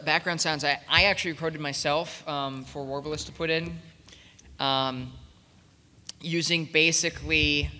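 A man talking casually and haltingly as a panelist at a convention. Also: a little high, sharp tone, a little hiss or hum. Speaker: panelist, radio play producer. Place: large convention meeting room, panel table. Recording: hall reverb, convention room PA.

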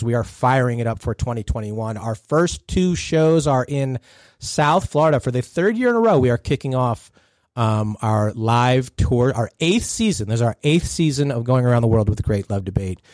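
A man's voice: mid-range voice, lively intonation, normal speed, a little mellow, no echo. A man hosting a podcast in casual chat, joking and laid-back, often trailing off mid-sentence. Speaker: podcast host.

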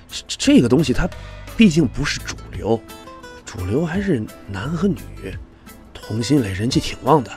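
A male actor plays a chatty, obsequious director in an audio drama. His mid-pitched voice swings animatedly as he gossips and wheedles with deference. Faint music plays behind him. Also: a little mellow. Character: chatty, fawning male TV director griping politely to bosses. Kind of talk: Chinese audio drama acting.

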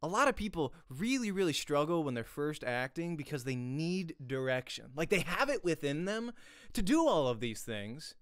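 A man in his thirties teaches acting in a casual, conversational way. His voice is lively and widely varied in pitch, with a clean, smooth tone. He speaks close to a studio mic in a small home room, with a very dry sound. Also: normal speed, dry recording. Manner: animated, chatty, repeats questions for emphasis. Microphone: close studio microphone with pop filter.

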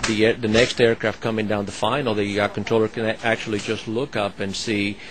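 Low-voiced man explaining air traffic control. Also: level tone, brisk. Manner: hesitant, many fillers and restarts. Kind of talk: explaining his work to an interviewer.

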